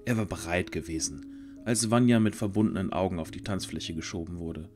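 A man narrating a scripted fantasy audio drama in a measured storytelling manner. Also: sits low, over music.

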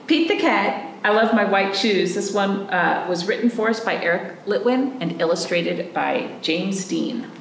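A woman's voice: mid-range voice, measured pace, vocal fry, hardly any pauses. A woman in her forties hosting storytime like a children's librarian, talking and reading to young children with simple, encouraging questions, recorded indoors a little way from the camera. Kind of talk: children's storytime talk and picture-book read-aloud. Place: small indoor room, camera a few feet away. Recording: roomy echo, faint background noise.

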